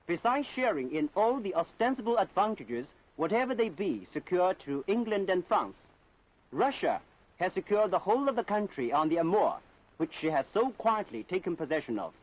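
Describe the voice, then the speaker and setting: High-pitched, declamatory male propaganda film narrator. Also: very animated, normal speed. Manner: solemn, declamatory, emphatic. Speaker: documentary narrator. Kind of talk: scripted propaganda documentary narration. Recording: dull 1960s film soundtrack.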